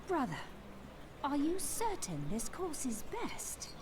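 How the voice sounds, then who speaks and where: Earnest, high-voiced fantasy sister. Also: female voice, wildly swooping pitch, takes it slowly, background noise, exaggerated voice. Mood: doubtful. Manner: formal, grave, archaic phrasing. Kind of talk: dramatic fantasy game voice acting.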